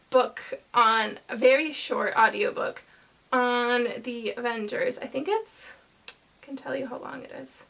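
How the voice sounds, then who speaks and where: A woman vlogger chatting casually to camera, rambling hesitantly with lots of fillers and pauses. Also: high-pitched, sharp tone.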